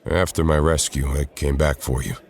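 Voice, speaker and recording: An actor playing a steady, commanding male super-soldier captain in an audio drama, calm and measured. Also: a bass voice, gravelly. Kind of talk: amateur audio drama acting.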